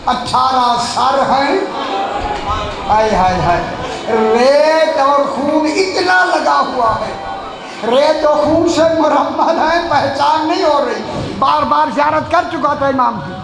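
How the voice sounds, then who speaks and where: A man delivering an impassioned mourning sermon, wailing and shouting with a chant-like lament. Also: very high-pitched. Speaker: religious mourning preacher. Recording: very echoey hall.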